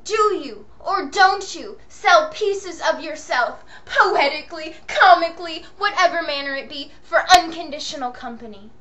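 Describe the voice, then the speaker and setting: A woman poet voicing her own passionate, wounded dark inner self, accusing and declamatory in old-fashioned diction. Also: high-pitched, animated, moderate pace, few pauses. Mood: accusing, probing. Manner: theatrical, declamatory, archaic diction.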